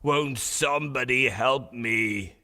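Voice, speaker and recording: A man voicing a greedy storybook wolf who groans slowly and pities himself. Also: mid-range voice, pushed and bright, pitched above the performer's own voice, heavily acted. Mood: groaning, self-pitying. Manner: slow, drawn-out groaning lament.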